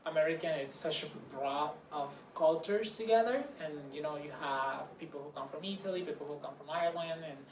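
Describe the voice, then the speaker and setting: A man's voice: fairly high pitch, brisk, few pauses. Male interviewee, Puerto Rican Spanish accent, considered delivery, distant mic, noisy room. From Puerto Rico. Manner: thoughtful, searching for English words. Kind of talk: casual interview answers. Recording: roomy, distant mic, background noise.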